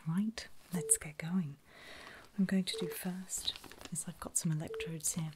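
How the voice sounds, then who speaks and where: A woman whispering in a breathy, gentle and reassuring way, unhurried, as a nurse in an ASMR medical roleplay. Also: lowish voice, fairly even intonation, noisy background. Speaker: ASMR performer playing a surgical nurse.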